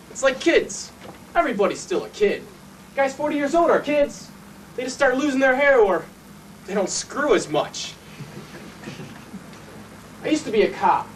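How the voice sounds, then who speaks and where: Man playing an intense, foul-mouthed fireman, wildly animated pitch. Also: far from the mic, slight background noise, rough recording. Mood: reflective. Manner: profane, blunt, colloquial storytelling. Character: intense, foul-mouthed city fireman and former cop, male. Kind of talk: dramatic stage monologue.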